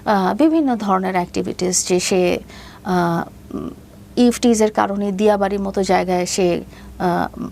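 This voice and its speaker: A woman's voice: medium pitch, creaky, darkish tone, a little hiss or hum. A woman in her fifties with a Bangladeshi accent, telling a personal story as an interview guest, hesitantly and with English phrases mixed in. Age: 50s. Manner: hesitant, halting delivery, mixing in English phrases.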